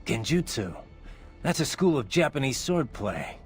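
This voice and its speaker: A man's voice: mid-range voice, big pitch swings, measured pace, faint music behind. An actor playing a brooding, wry cyborg swordsman in a video game, talking in terse phrases. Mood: businesslike.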